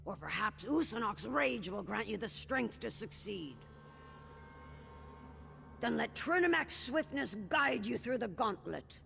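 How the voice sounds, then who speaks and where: An actress voicing a grave, ceremonial high priestess of a warrior cult in a fantasy game. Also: female voice, sing-song, a little slow, vocal fry, sharp tone, a little hiss or hum, exaggerated voice. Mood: solemn. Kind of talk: scripted fantasy game acting.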